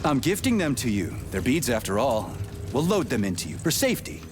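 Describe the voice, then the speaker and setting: An actor playing a gruff, grumpy and somewhat naive soldier in a video game, blunt and curt. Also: male voice, mid-range voice, very animated, a little fast, over music, close to an everyday voice. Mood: matter-of-fact. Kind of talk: video game character acting.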